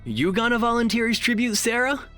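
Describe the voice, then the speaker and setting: A man's voice: extremely high pitch, a little fast, bright, forceful tone, faint music behind. A man playing a young, wry, self-assured paranormal investigator in an audio drama, sarcastic and bossy.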